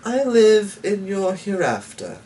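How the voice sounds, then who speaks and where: A man plays a slight, mysterious gentleman from a storybook. His voice is lifted high, airy and teasing, with a nonchalant ease, a little away from the mic. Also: wildly swooping pitch, slow pace, distant mic, a little hiss or hum, full character voice, poor audio.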